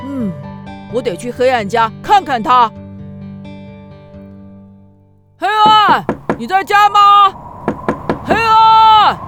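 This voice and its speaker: The sun as a caring, encouraging grown-up friend in a children's radio play. The voice is high and takes its time, with gentle, expressive phrasing over faint music. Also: female voice, takes it slowly, full character voice. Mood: worried. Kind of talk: children's radio drama acting.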